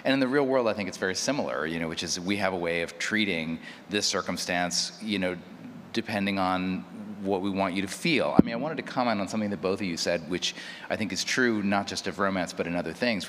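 A man with a very low voice speaks as a panelist in an unscripted discussion. He explains thoughtfully and hesitantly, with long hall reverb and background noise around him. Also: a little fast, a bit bright. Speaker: panelist, audio drama producer.

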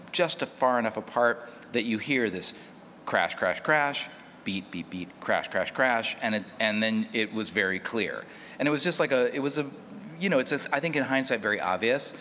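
A man's voice: fairly low pitch, sharp tone. A man speaking casually as a panelist, telling an anecdote in a conversational way. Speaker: panelist, audio drama maker. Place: large reverberant hall at a live panel. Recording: echoey hall, background noise.

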